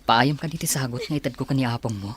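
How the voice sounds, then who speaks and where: A man's voice: medium pitch, fast talker, breathy edge, close to an everyday voice. An actor playing an insistent, secretive young male lover, speaking earnestly in a melodramatic radio drama. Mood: troubled, hushed. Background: dramatic background music.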